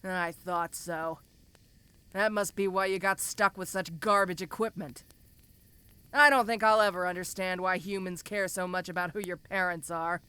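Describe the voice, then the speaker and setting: A woman's voice: lowish voice, fairly even intonation, normal speed, bright, forceful tone, smooth. A woman voicing a tough, domineering giantess ogre in a fantasy audio roleplay, talking to the listener in a casual, teasing, condescending way. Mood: casual, coaxing.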